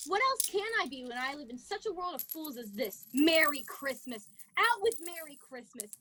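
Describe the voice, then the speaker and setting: A woman's voice: high voice, very animated, moderate pace, pushed and bright, full character voice. A woman playing a cold, miserly, grumpy older female boss in an amateur radio play, curt and scornful. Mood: scornful, irritable.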